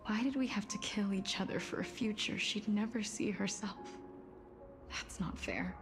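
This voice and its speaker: A woman playing a sorrowful, soft-spoken demigod of death in a video game, solemn and breathy. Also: mid-range voice, normal speed, close to an everyday voice. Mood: grieving, bitter. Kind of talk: English video game voice acting, slow storytelling. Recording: game dialogue under loud music.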